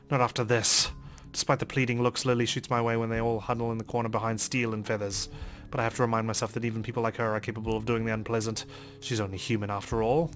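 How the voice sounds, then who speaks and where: A man's voice: on the low side, a bit bright. A man hosting a let's play, reading visual novel narration aloud in a theatrical, in-character way. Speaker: let's play host reading game text. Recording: voice over game music.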